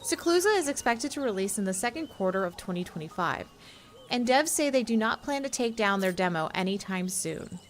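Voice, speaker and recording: A woman's voice: mid-range voice, animated, brisk. A woman co-hosting a games show, moving between casual chat and scripted review narration. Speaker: show co-host and game reviewer.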